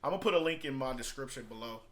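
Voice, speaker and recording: A man's voice: medium pitch, animated, rapid-fire, fairly bright tone. A man talking in a casual vlog review style, conversational and emphatic, repeating phrases to drive points home. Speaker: product reviewer and online marketer.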